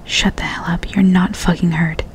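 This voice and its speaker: A woman's voice: lowish voice, flat delivery, moderate pace, close to an everyday voice. A woman voicing a short-tempered mother in a whisper, in a spoken storybook. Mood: angry. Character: short-tempered mother, harsh then sorry.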